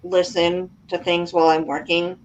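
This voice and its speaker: A woman's voice: lowish voice, level tone, moderate pace, a little mellow. A woman talking informally and chattily in a casual book discussion. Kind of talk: casual book club chat. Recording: dull lo-fi audio, background noise.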